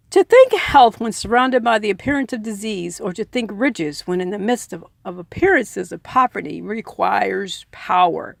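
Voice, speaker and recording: A woman's voice: medium pitch, gravelly, no room sound. A woman reading a book aloud earnestly, in an informal audiobook style with personal asides. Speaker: reader and commentator.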